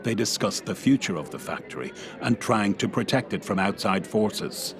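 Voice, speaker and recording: A man's voice: on the low side, animated, moderate pace, a crowd in the background. A man reading scripted, dramatic narration for a radio play.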